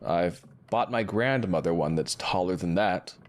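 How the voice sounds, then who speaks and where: A man acting a brooding, guarded doctor in an audio drama, with a dry, terse, deadpan delivery. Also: low-pitched, unhurried. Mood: dry, cutting. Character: brooding male doctor, guarded, dryly cutting.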